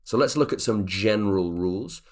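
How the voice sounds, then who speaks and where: A man's voice: lowish voice, lively intonation, moderate pace. A man teaching an online English grammar lesson, explaining patiently and checking in with the viewer as he goes.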